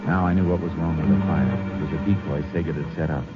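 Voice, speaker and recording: Brief: a level-headed lawman for a 1950s radio western, very deep, clipped, dull old broadcast sound. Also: quick pace, loud music behind the voice. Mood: narrating. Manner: calm, clipped, matter-of-fact. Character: steady, shrewd male Texas Ranger tracker. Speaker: Texas Ranger and narrator. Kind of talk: 1950s radio drama acting.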